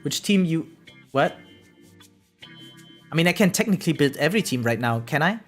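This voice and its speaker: An excitable gaming streamer chatting casually and slangily on a livestream, swearing freely. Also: mid-range voice, moderate pace. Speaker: variety game streamer. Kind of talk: casual livestream chat about gacha games. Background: background music.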